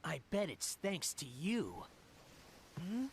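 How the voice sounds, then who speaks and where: A man's voice: on the high side, huge pitch swings, slow pace, gravelly, heavy background noise, exaggerated voice. A man voicing a brave, easygoing young hedgehog hero in a video game cutscene, breezy and confident. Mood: curious, earnest. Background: loud game music.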